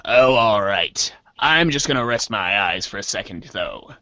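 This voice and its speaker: Man acting as teenage boy, casual, whiny, hesitant, unhurried, dry close sound, webcomic reading. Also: on the low side, lively intonation, sharp tone, no room sound. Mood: whiny, weary. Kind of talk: amateur dramatic reading of webcomic chat dialogue.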